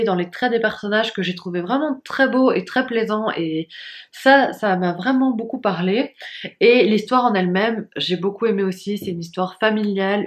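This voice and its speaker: A young woman with a stuffy cold, chatting casually to camera about her reading, with frequent fillers. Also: medium pitch. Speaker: book vlogger. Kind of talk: casual vlog chat to camera.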